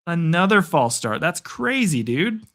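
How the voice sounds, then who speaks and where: A young man calling play-by-play for a live stream, excitable and chatty, answering chat, his voice getting worn. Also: fairly high pitch, measured pace. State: losing his voice. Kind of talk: casual live play-by-play commentary.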